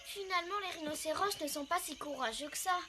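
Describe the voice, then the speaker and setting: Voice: sits high, fast, natural voice. A performer voicing a brave, earnest boy elephant king with a resolute manner in a French cartoon. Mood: persuasive. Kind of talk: French-dubbed cartoon acting. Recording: loud music behind the voice.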